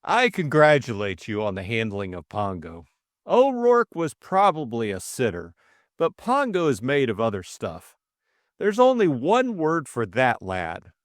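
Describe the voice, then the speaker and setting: A man voicing a jaunty, lively young English gentleman. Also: medium pitch, measured pace, dry recording, clean studio sound. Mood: flippant. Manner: glib, drawling, teasing. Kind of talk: audiobook character acting.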